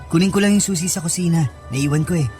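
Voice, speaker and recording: Man acting a high-pitched, breezy young boyfriend. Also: fast talker, warm tone, natural voice. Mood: breezy, affectionate. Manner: breezy, casual. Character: easygoing, affectionate young boyfriend and doting son. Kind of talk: radio drama acting. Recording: music under the voice.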